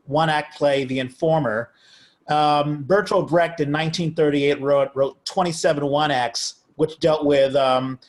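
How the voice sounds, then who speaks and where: A man speaking informally and a little haltingly as a playwright at an online talk-back. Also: mid-range voice. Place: indoors on a video call.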